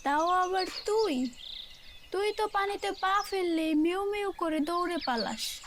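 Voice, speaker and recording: A clever, teasing cartoon parrot , put on by a woman narrator. The voice is very high and mocking, taking its time over each jab. Faint noise sits behind it. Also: lively intonation, a little slow, fairly bright tone, heavily acted. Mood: mocking, amused. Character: clever, teasing parrot friend of a foolish cat. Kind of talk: children's cartoon storytelling.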